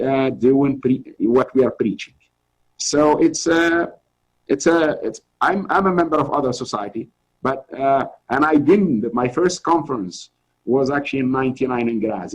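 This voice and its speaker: A man's voice: mid-range voice, darkish tone, muffled recording. A middle-aged man from Tunisia, a journal editor, giving an earnest, encouraging webinar talk with many restarts over a video call. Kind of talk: informal lecture.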